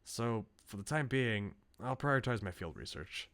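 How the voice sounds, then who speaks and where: A man voicing an earnest, bookish researcher from a visual novel in a measured, formal, serious way. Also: mid-range voice, expressive, fairly bright tone, clean tone. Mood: grave, resolute.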